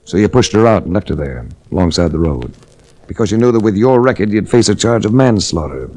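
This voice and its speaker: An actor playing a stern, coolly accusing investigator in a 1940s radio drama, with a deadpan, clipped delivery. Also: male voice, lowish voice, brisk. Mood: stern accusation. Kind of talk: 1940s radio drama acting, noir narration. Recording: restored vintage 1940s radio recording.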